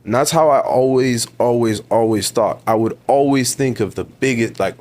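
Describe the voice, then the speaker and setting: A man's voice: mid-range voice, normal speed, a little mellow. A man in his twenties from Ontario with a Toronto accent, a podcast guest talking in an emphatic, motivational way, repeating words for stress and calling the host bro. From Ontario, Canada. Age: 20s. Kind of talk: casual interview talk.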